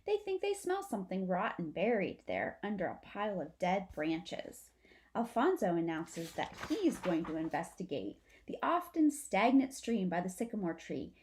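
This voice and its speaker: A woman's voice: lowish voice, wildly swooping pitch, moderate pace, darkish tone. A woman, a teacher, narrates a children's story aloud for her students.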